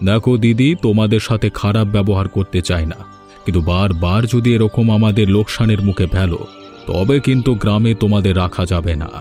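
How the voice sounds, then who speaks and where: A man playing a village gentleman and father in a cartoon folk tale, speaking with firm authority. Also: fairly low pitch, brisk, soft background music, lightly acted. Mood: scolding. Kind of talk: Bengali fairy tale cartoon dubbing.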